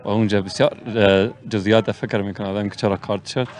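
Man, 30s, Afghan Dari accent, football coach, very low voice, hesitant pauses. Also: steady tone, a little mellow. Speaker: head coach. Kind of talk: post-match interview. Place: outdoor pitchside backdrop. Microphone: handheld mic.

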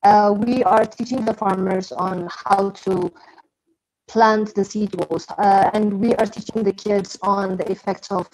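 A woman's voice: mid-range voice, fairly even intonation, a little slow, darkish tone, poor audio. A young woman with an Ethiopian accent explaining her work as a guest speaker on a video call. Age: young adult. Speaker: guest speaker, architect and co-founder. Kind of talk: explanatory panel talk. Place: plain room indoors, video call. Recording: clear noise, clicks, video call.

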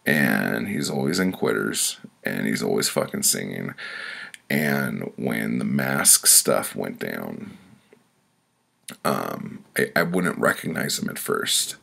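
Male author-narrator, bottom-of-the-range rasp, slow delivery, crude comic first-person storytelling. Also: rumbling low, very animated, pushed and bright. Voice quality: gravelly, rough. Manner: crude, profane, blunt. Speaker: author reading their own audiobook.

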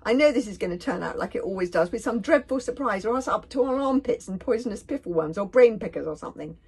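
A woman's voice: medium pitch, animated, fast, darkish tone, breathy edge, voice pushed up. A woman voicing a panicky, melodramatic boy sidekick in a storybook, fretful and wailing. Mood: gloomy, fretful.

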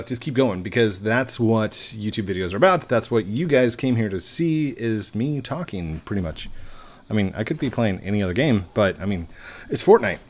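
A man in his late thirties, rambling and joking at himself while commenting on his gameplay into a headset mic. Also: medium pitch. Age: 30s. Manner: rambling, self-mocking, chatty. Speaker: gaming YouTuber. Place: home room at a desk.

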